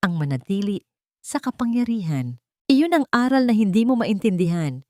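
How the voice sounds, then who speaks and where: A woman's voice: mid-range voice, big pitch swings, normal speed, mellow, no echo, clean studio sound, voice pushed up, natural voice. A woman voicing a cold, proud, wealthy matriarch in an audio drama, speaking with bitter menace. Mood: cold, menacing. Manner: bitter, threatening, whispering venom.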